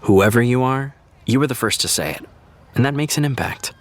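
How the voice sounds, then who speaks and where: An actor playing an easygoing, diplomatic TV writer who explains things in a reassuring, conversational way, in an audio drama. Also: male voice, mid-range voice, animated, brisk, slight background noise, natural voice. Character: easygoing, diplomatic TV writer consoling a young fan. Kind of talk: naturalistic audio drama dialogue.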